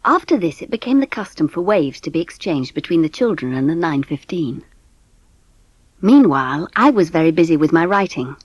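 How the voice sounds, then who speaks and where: A woman with a British accent narrating a storybook in scripted, measured storytelling fashion. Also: low-pitched, very animated, husky, no room sound. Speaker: audiobook narrator, speaking as the mother. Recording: slightly dull audio under music. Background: music.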